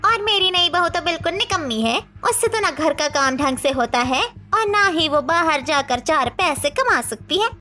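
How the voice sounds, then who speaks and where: A woman's voice: sits high, animated, moderate pace, few pauses, pushed and bright. A woman playing a scornful, nagging older mother-in-law in a cartoon story. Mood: scornful complaining. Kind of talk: Hindi cartoon story dialogue.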